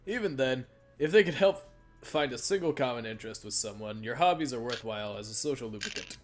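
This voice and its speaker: A man's voice: mid-range voice, animated, a little fast. A man reading visual novel dialogue aloud in a casual way, often stumbling and repeating phrases as he goes. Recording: music behind the voice.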